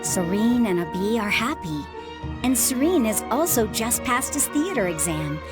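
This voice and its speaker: A calm, reassuring retired adventurer. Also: female voice, fairly high pitch, moderate pace. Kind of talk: video game voice acting. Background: game music.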